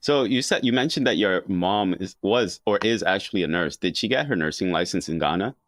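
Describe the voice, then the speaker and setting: A man hosting a podcast, reading a steady introduction and asking measured interview questions. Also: low-pitched, no echo, clean studio sound. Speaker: podcast host.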